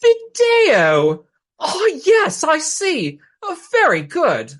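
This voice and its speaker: A man's voice: extremely high pitch, wildly swooping pitch, drawn-out words, a bit bright, full character voice. A man playing an astonished, courteous and fussy Regency aristocrat in a radio play, exclaiming and getting flustered.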